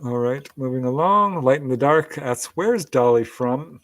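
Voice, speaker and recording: A man hosting a podcast Q&A, briskly reading out listener questions. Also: medium pitch, expressive, fairly bright tone, no echo. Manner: brisk, reads questions aloud, light laughs.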